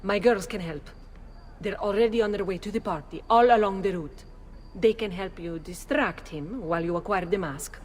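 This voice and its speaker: A woman's voice: mid-range voice, normal speed, close to an everyday voice. A woman playing a shrewd courtesan madam. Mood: steady. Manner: calm, knowing and persuasive. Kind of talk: video game cutscene acting. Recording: reverberant room with faint noise.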